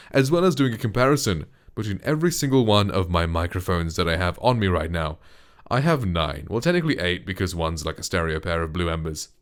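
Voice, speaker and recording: Deep-voiced man, chatty studio mic review. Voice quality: deep, dark voice. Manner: relaxed and chatty, lots of loose filler words. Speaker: voice actor and streamer reviewing a microphone. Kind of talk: casual gear review with voice demos. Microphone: close studio condenser microphone.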